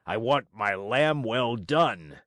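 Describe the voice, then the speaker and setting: A man voicing a gruff, bearded puppet-show director with a hoarse, booming voice, bad-tempered but softhearted. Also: lively intonation, slow pace, no room sound, studio quality.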